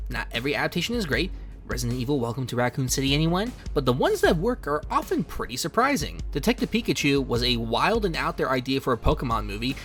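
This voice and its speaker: A man's voice: a little high, fairly bright tone. A man narrating a scripted video essay in a conversational, emphatic and opinionated way. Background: music under the voice.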